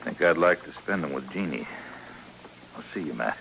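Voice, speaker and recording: An actor playing a proud, resigned Old West husband, plainspoken and grave, in 1950s radio western style. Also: male voice, a bass voice, expressive, moderate pace, vocal fry, fairly bright tone, exaggerated voice. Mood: grim resignation. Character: stubborn, resigned frontier husband bound by honor. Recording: noisy, crackly old radio recording.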